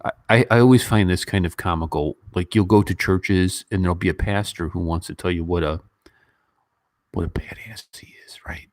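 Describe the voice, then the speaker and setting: Male livestream host, low-pitched and informal, rambling conversational delivery, very dry close sound. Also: moderate pace, no room sound. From Western country. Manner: informal, animated when mimicking others, rambling. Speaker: YouTube host. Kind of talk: casual livestream chat and commentary.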